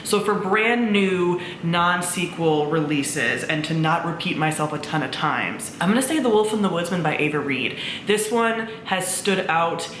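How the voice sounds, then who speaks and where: A woman's voice: lowish voice, expressive, moderate pace, hardly any pauses, fairly bright tone. A woman talking casually and enthusiastically to camera as a book vlogger, in an informal, chatty way. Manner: enthusiastic, informal with swearing and frequent "like". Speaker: book vlogger, presenter of own channel. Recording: roomy echo, faint background noise.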